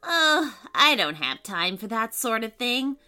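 A woman's voice: fairly high pitch, lively intonation, measured pace, sharp tone, full character voice. A woman voicing a prim, self-important female bat in a children's story, fussy and scoffing. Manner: fussy, pompous.

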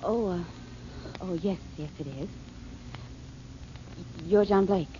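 A woman's voice: lowish voice, takes it slowly. An actress playing a flustered, stammering young woman in a 1940s radio drama. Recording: muffled old radio broadcast, faint hiss.

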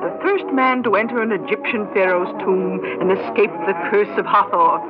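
An actress playing a flirtatious, gushing party hostess in a theatrical, breezy 1960s radio drama style. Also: female voice, medium pitch, moderate pace, lightly acted. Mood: gushing, amused. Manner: theatrical, breezy, punctuated with laughter. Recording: dull 1960s radio recording, loud music.

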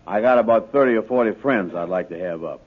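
A man playing a slow, gullible, doubtful dupe in a 1950s radio sitcom, speaking hesitantly in a folksy dialect. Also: lowish voice, lightly acted. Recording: narrow, noisy vintage radio recording with clicks.

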